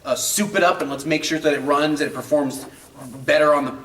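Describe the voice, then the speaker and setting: A man's voice: a little high, fairly even intonation, brisk, a bit bright. A man co-hosting a casual video game chat, opinionated and emphatic in how he talks. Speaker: video game show co-host. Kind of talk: casual banter about video games. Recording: echoey room, distant mic.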